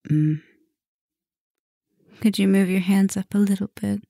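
A soft-spoken, dominant female vampire professor, acted by a woman in an intimate ASMR roleplay. She takes her time, murmuring affectionately and teasingly with a gravelly fry. The sound is close and very dry. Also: medium pitch, steady tone, unhurried, no room sound. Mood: flirtatious, teasing.

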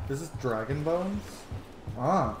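A man's voice: fairly high pitch, animated, slow pace, background noise. Man in a roomy space, musing over a game. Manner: musing aloud to himself. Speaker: gamer streaming a roleplay playthrough. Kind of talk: casual game commentary. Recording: roomy echo, game music underneath. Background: video game music.

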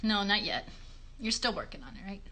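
A woman's voice: medium pitch, animated, a little fast, sharp tone. A woman in her thirties chatting to children as a puppet show host, encouraging and reassuring. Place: bedroom. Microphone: phone a short distance away.

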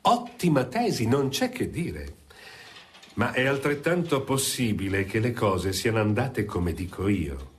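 A man's voice: mid-range voice, sing-song, a little slow, a little hiss or hum. A shrewd radio-drama lawyer. He is self-possessed and sardonic, his theatrical delivery rising and dropping widely. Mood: sardonic challenge. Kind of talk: Italian radio drama acting.

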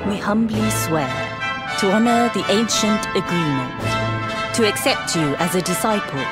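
A woman's voice: mid-range voice, lively intonation, normal speed, natural voice. A woman playing a wry, imperious grand master of a mountain temple in a video game, speaking formally and with measure. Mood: formal, ceremonious. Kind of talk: video game cutscene acting. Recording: loud background music. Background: loud music.

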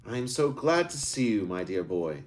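A man voicing a kind, ancient woman shopkeeper who speaks gently and patiently. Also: lowish voice, big pitch swings, normal speed, voice pushed up. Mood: fond.

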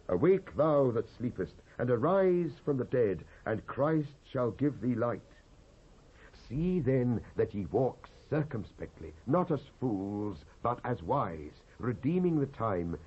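Husky British man reading scripture, swooping delivery. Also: mid-range voice. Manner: solemn, emphatic, oratorical. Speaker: scripture narrator. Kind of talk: dramatised reading of King James scripture. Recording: dull lo-fi cassette transfer.

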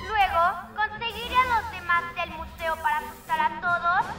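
Scheming cartoon boy villain, Latin American Spanish, very high pitch, theatrical, exclamatory, loud music behind. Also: fairly even intonation, normal speed. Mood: gloating. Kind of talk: animated cartoon dubbing.

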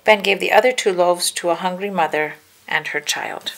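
A woman reads a storybook aloud for children. Her voice sits on the low side and has a strong creak in it. She phrases each sentence carefully and deliberately so young listeners can follow. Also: a bit bright, poor audio. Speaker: children's book reader. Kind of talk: read-aloud storybook narration.

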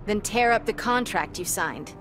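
A woman's voice: medium pitch, lively intonation, normal speed, a bit bright, soft background music, lightly acted. A woman playing a plucky young superheroine in a video game, confident, flippant and defiant. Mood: confident, challenging. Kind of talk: video game character acting.

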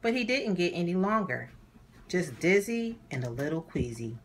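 A woman hosting a children's read-aloud, narrating a storybook in a deliberate, animated way for young listeners. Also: lowish voice, slight background noise.

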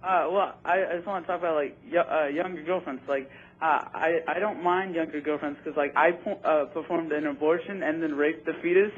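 A man's voice: fairly high pitch, fairly even intonation, brisk. Young man on a dull phone line. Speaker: prank caller. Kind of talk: casual prank phone call. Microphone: telephone.

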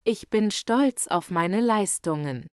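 A woman slowly reading German example sentences for learners, carefully enunciated and repeated. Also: medium pitch, expressive, smooth, no room sound, studio quality. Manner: carefully enunciated, each phrase read twice. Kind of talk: slow, clear model sentences for learners.

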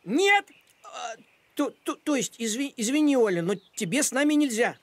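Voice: high-pitched, animated, normal speed, no echo. An actor voicing a proud, grumpy, bossy talking tomcat in a children's cartoon, huffy and pompous in manner. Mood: defensive, huffy. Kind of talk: animated children's cartoon acting.

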